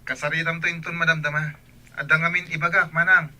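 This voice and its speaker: Bright-voiced, earnest young student. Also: male voice, a little high, little pitch movement, fast, a little hiss or hum. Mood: earnest, worried. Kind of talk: radio drama acting.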